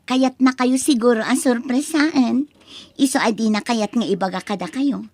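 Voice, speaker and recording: A woman's voice: fairly high pitch, moderate pace, a little mellow, no echo. A young woman voicing a spoiled college-student daughter who talks in a whiny, dismissive way. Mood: cheerful. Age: young adult. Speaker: voice actor. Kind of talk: audio drama acting.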